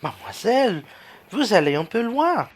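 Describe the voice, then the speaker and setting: A woman's voice: mid-range voice, very animated, moderate pace, a little hiss or hum, lightly acted. Woman playing Cornudet, swooping, declamatory male publicist. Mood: indignant. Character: Cornudet, eloquent, opinionated male political publicist. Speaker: student actor. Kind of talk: radio play acting in French.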